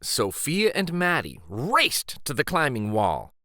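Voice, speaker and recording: A man reading a children's picture book aloud in an expressive, deliberate storytelling style. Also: mid-range voice, no echo, clean studio sound. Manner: deliberate, animated.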